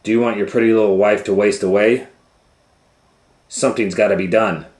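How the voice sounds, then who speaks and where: A man's voice: fairly low pitch, steady tone, moderate pace, a little mellow, roomy sound, voice pushed up, natural voice. A man voicing a scheming, nagging, ill-tempered stepmother in a storybook, scolding and bossy. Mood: indignant.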